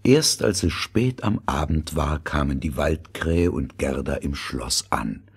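A man's voice: a bass voice, expressive, unhurried, gravelly, breathy edge. A man narrating a fairy tale in a scripted, storytelling style.

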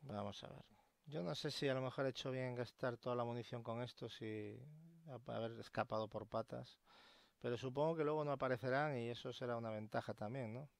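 A man's voice: mid-range voice, brisk, creaky, dry recording. A man with an accent from Spain giving casual, colloquial and sweary commentary as he plays a video game. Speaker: gamer, channel host. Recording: loud game noise behind voice.

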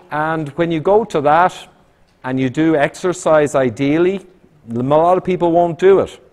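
A man's voice: fairly high pitch, a little mellow. Man, middle-aged, emphatic public talk, town hall, camera-distance sound. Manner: punchy, emphatic, quick asides. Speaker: public speaker. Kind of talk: lecture to a live audience. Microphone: far camera, room sound.

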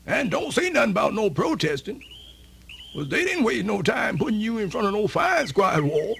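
An old Southern storyteller in a radio drama, his high, creaky voice swooping widely. He rambles in a folksy drawl, circling back over his own words. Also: big pitch swings, normal speed, fairly bright tone, a little hiss or hum, heavily acted. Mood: animated, reminiscing. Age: older. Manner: rambling, repeats himself, folksy. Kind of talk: radio theatre monologue.

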